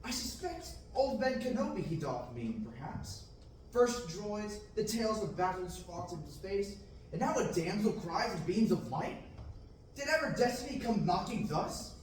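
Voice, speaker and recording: Young man reading a dreamy farm boy, fairly high voice, amateur table read, booming room echo, distant camera. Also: animated, a little slow. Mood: earnest. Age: young adult. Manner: earnest reading with bursts of theatrical exclamation. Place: large classroom indoors. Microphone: far camera. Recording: very echoey room, far-off mic, faint noise.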